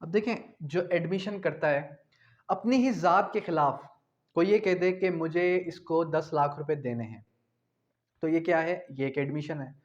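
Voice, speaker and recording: A man's voice: a little high, moderate pace, muffled recording. A man with a Pakistani accent giving a law lecture, explaining didactically with repetition, asking questions and answering them himself, and dictating definitions.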